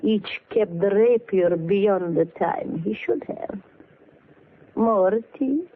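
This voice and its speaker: An actress playing a mysterious, seductive Russian woman painter in 1940s radio mystery style, slow and teasing. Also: mid-range voice. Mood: calm, ominous. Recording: muffled vintage radio recording, faint music.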